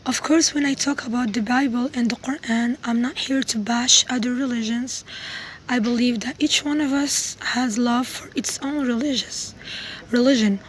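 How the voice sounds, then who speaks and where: A woman who is not a native English speaker, giving an informal explanatory talk to viewers in a halting, self-correcting way. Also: a little high, fairly even intonation, slight background noise, poor audio. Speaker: video creator giving a religious talk.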